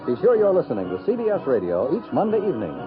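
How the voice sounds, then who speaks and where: A man's voice: fairly high pitch, wildly swooping pitch, fast, narrow, tinny audio. An American man announcing from a script in the polished, promotional style of 1950s radio. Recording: thin 1950s radio recording. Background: music.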